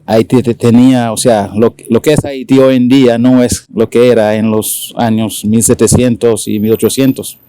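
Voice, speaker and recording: A man in his forties from Haiti, speaking Spanish with a Dominican accent, talking haltingly with many fillers as an interview guest. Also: medium pitch, mellow, smooth, dry recording. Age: 40s. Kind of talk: casual conversational interview.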